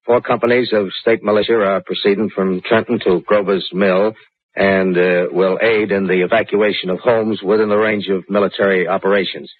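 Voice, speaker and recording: An actor playing a militia general who reads a stiff official proclamation in a 1930s radio drama. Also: male voice, deep voice, steady tone, moderate pace, no room sound. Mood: formal. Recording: tinny 1938 radio broadcast.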